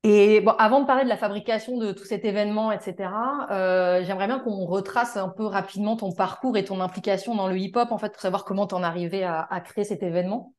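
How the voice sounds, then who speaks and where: A woman's voice: mid-range voice, fast talker, hardly any pauses. A woman hosting an interview podcast, speaking in an introduction or casual chat.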